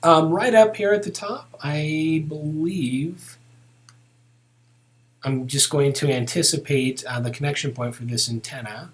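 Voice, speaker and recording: A man teaching software in a casual, unscripted way, thinking aloud as he walks through each step. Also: a little high, a little slow. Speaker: software tutorial instructor. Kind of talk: narrated step-by-step screen tutorial, unscripted.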